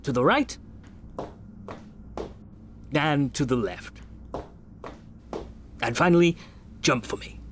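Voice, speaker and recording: A man playing a brisk, formal, encouraging doctor who gives instructions in a sci-fi video game. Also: medium pitch, animated, slight background noise. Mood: brisk, instructive. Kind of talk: video game tutorial dialogue acting.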